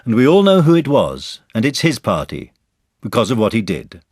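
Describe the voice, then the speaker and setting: A man's voice: medium pitch, huge pitch swings, normal speed, a little mellow, no room sound, studio quality, voice pushed up. A man voicing an eager, kindly young boy in a storybook reading, speaking in an emphatic way. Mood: announcing, proud.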